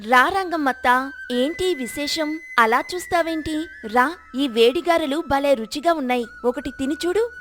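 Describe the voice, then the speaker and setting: A high-pitched young village wife acted by a woman in a moral storybook tale. She is proud and sure of herself, speaking up firmly over background music. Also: moderate pace, fairly bright tone. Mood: cheerful. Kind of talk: storybook character dialogue.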